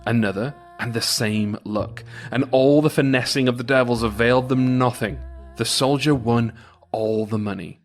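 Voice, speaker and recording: A man reading a folktale aloud as a storyteller, with expressive, varied intonation. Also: medium pitch, moderate pace, faint music behind. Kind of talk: folktale narration, read aloud.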